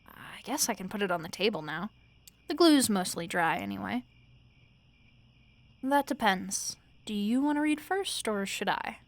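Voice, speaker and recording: A woman playing a tired, wry, sleepless roommate in a soft-spoken audio roleplay, talking casually and haltingly with fond, dry teasing. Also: medium pitch, dry recording, natural voice. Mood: teasing, easygoing. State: sleepy, weary.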